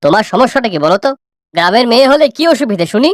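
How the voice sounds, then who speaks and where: A woman's voice: on the high side, animated, rapid-fire, no room sound, studio quality, natural voice. A woman voicing a firm, fair-minded middle-aged father in a cartoon. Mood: reproving. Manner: reproving, insistent.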